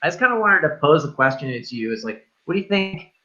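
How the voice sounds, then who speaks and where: A man's voice: fairly high pitch, big pitch swings, fast talker, sharp tone, highs cut off. A man hosting a casual film discussion, feeling his way through ideas in a searching, repetitive, sweary manner. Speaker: film review show host.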